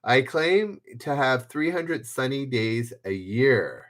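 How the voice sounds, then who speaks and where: A man's voice: medium pitch, expressive, slow pace, fairly bright tone. A man hosting a trivia livestream, reading clues in the first person and chatting casually with viewers by name.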